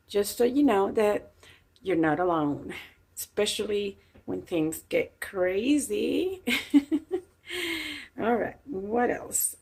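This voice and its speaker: A woman's voice: mid-range voice, a little mellow, husky. A woman in her fifties from Puerto Rico, with a Puerto Rican Spanish accent and Spanish as her first language, chatting casually to her channel's viewers with frequent fillers and easy laughs. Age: 50s. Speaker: channel host. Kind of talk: casual chat to camera.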